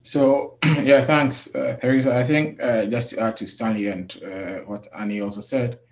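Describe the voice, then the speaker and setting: A man's voice: medium pitch, steady tone, a little mellow. Man on a muffled video call. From Africa. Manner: measured, reflective, many "uh" pauses. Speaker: safety professional. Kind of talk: webinar panel discussion.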